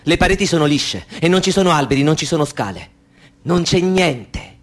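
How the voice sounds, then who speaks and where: A man's voice: fairly high pitch, animated, normal speed. An actor playing a wary, suspicious young man in a radio drama who gives orders in short, curt lines. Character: edgy, suspicious young man guarding a woman.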